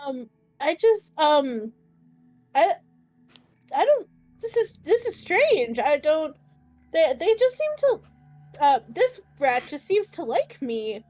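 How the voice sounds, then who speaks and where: High-pitched, animated woman at game table. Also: slow, long pauses, faint music behind. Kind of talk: casual roleplaying chat.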